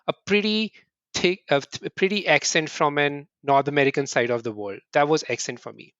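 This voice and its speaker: A middle-aged man from India, answering interview questions earnestly in quick bursts and often restarting with "right?". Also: a little high, fairly bright tone, no echo, dull audio. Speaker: podcast guest.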